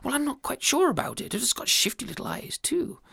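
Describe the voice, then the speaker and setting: A woman's voice: high-pitched, sing-song, fairly quick, vocal fry, fairly bright tone, husky, pitched above the performer's own voice, full character voice. Woman playing a stammering posh husband. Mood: flustered. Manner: stammering, fussy, with many ums. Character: bumbling flustered posh husband.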